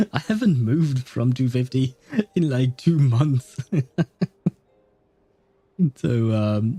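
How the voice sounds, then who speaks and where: Slow, rambling man chatting on stream. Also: mid-range voice, dark tone, no room sound. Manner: casual swearing. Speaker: game livestreamer. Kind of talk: casual livestream chat.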